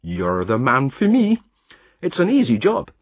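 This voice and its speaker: A man's voice: mid-range voice, huge pitch swings, unhurried, slightly rough, no room sound, pitched above the performer's own voice, full character voice. A man voicing an easygoing working-class Scottish roadman with a Scottish accent, in a dull, dry recording. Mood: friendly.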